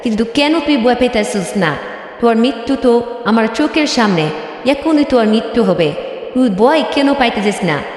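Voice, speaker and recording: A man's voice: very high-pitched, lively intonation, normal speed, voice pushed up. A man voicing a fearsome man-eating female witch in a cartoon fairy tale, boastful and threatening, with an echoing effect on the voice. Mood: menacing. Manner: boastful, threatening, repetitive questioning. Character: fearsome man-eating female witch of the jungle, menacing but soft-hearted. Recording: echoey with long hall reverb.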